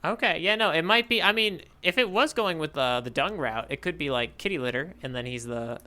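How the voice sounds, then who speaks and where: A man's voice: fairly high pitch, a little fast, bright, forceful tone. A man co-hosting a podcast, chatting casually about manga in wordy, playful descriptions. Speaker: podcast co-host. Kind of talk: casual manga discussion chat.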